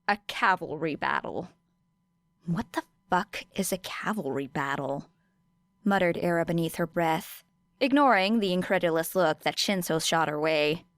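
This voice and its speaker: A woman's voice: medium pitch, fairly bright tone, clean tone, dry recording, clean studio sound. A woman narrating fiction as an audiobook, reading a script expressively.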